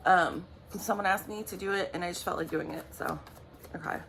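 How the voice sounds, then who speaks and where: Raspy-voiced woman chatting casually. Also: on the low side, fairly even intonation, brisk, a bit bright, slight background noise. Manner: chatty, rambling, apologetic. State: raspy morning voice. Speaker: tarot reader. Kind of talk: casual vlog talk.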